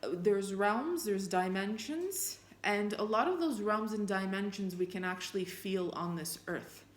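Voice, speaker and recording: A woman whose first language is Armenian, reflecting earnestly on faith and reading passages of scripture aloud, thinking out loud with fillers and restarts. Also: mid-range voice, measured pace, a little hiss or hum. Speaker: spiritual vlogger. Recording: echoey room.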